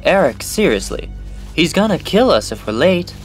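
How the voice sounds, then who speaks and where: A man's voice: on the high side, big pitch swings, normal speed. A man playing an anxious, slangy young male patient in an audio drama, with music underneath. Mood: urgent.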